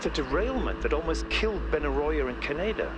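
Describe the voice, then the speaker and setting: A man's voice: a little high, lively intonation, a little fast, noisy background, close to an everyday voice. A man playing a driven, visionary expedition leader in a video game, with an emphatic, commanding way of speaking. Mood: impassioned. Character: driven, visionary male robot expedition leader, passionate about progress. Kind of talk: dramatic video game voice acting. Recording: loud music over the voice. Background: loud game music.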